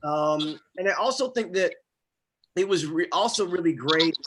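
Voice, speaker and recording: A man's voice: on the high side, moderate pace, a bit bright, a little hiss or hum. A man giving casual feedback on a video call, rephrasing and repeating his words as he goes. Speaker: audience member giving feedback. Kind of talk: casual spoken praise. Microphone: video call microphone.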